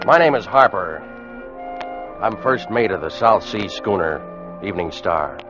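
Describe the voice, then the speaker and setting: An actor playing a weary American first mate of a sailing schooner in an old radio drama. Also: male voice, sits low, lively intonation, measured pace. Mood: grave, foreboding. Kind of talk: 1940s-50s radio drama acting, first-person narration and dialogue. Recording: muffled vintage radio recording, music behind.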